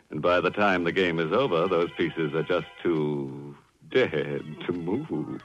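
A man hosting a 1940s radio mystery show in a sardonic, macabre, tongue-in-cheek style. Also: deep voice. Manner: sardonic, macabre chuckling, drawn-out sign-off. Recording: muffled 1940s radio recording, music behind.

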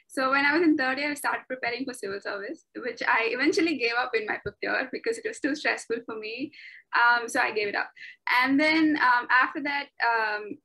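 Chatty twenty-something woman from chennai, kinda high bright voice, lots of ums. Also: rough recording. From Chennai, India. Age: 20s. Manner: chatty, many fillers and restarts, laughs often. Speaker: interview guest, law graduate. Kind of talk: casual interview talk.

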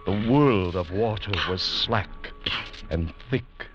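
A man narrating a 1940s radio drama in a grave, deliberate, ominous manner, on an old radio recording. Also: fairly low pitch. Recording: dull vintage radio recording, loud music.

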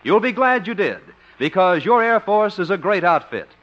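Male vintage radio announcer, high and forceful, formal declamatory sponsor read, muffled period recording. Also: big pitch swings, moderate pace.

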